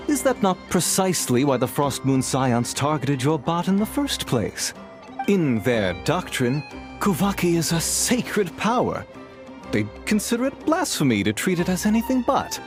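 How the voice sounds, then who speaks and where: Man playing a smug, swooping, smooth-talking merchant. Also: mid-range voice, close to an everyday voice. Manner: theatrical, mock-polite, insinuating. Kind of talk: animated game voice acting. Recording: music behind the voice.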